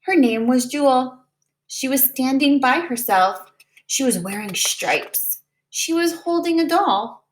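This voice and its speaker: Higher-pitched woman reading a children's storybook. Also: animated, slow pace, a little hiss or hum. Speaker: preschool teacher reading a picture book aloud. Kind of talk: children's storybook read-aloud.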